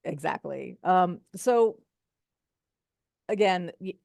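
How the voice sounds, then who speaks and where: A woman's voice: fairly low pitch, expressive, slow pace, vocal fry, darkish tone, no room sound. An older woman hosting an interview podcast, chatting casually and laughing easily with her guest. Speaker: podcast host.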